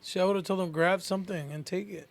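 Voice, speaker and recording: A man with a high voice talks quickly in loose podcast banter. He is slangy and keeps questioning what he hears. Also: fairly even intonation, creaky. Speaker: podcast co-host.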